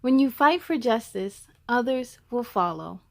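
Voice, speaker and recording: A woman with a fairly high voice reads a picture book aloud for story time. Her delivery is deliberate and expressive, placing emphasis on key words as a storyteller would for young listeners. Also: vocal fry. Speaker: host of a children's read-aloud story time.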